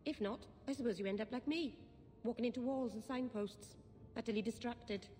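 Fantasy game elf girl played by a woman, higher voice, naive and scatterbrained, long reverb. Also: normal speed, warm tone, lightly acted. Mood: wide-eyed wonder. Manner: wide-eyed, chatty, earnest. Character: naive, scatterbrained young female elf mage. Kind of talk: fantasy video game dialogue. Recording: game audio with long reverb, background rumble.

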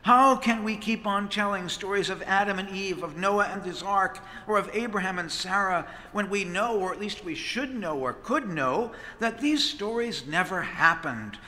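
A middle-aged man, a priest, preaching a sermon from a pulpit microphone with expressive gestures. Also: high-pitched, normal speed, few pauses. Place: large stone church, at the pulpit. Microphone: pulpit microphone on a stand. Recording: long church reverb.

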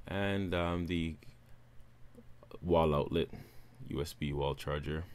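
A man, casually talking viewers through a phone unboxing in a relaxed, upbeat way. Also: extremely low pitch, slow pace. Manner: relaxed, upbeat presenter addressing viewers as guys. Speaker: tech reviewer.

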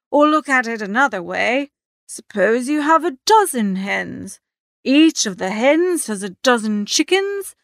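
Woman as a high-voiced, excitable young gentleman. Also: dry recording, studio quality, exaggerated voice. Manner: gushing, slangy, emphatic. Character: excitable, hapless young upper-class gentleman, dejected dreamer. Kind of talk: audiobook character reading.

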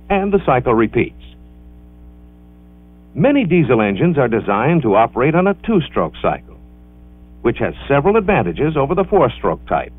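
A male narrator delivers scripted educational film narration with very animated, swooping intonation. He enunciates carefully and explains in a measured, instructional manner. The sound is thin and band-limited, like an old 16mm soundtrack, with very little room echo. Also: medium pitch, breathy edge, narrow, tinny audio.

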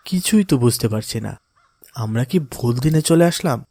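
Calm, pious cartoon father, voiced by a man. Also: medium pitch, a little fast, no room sound, clean studio sound. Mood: puzzled, polite. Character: pious, kindly adult father giving gentle counsel. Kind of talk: cartoon voice acting in moral story.

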